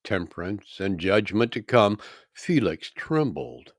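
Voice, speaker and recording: A man reading scripture aloud as a solemn narration, with deliberate phrasing and dramatic emphasis. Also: lowish voice, sing-song, no echo, studio quality. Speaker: scripture reader.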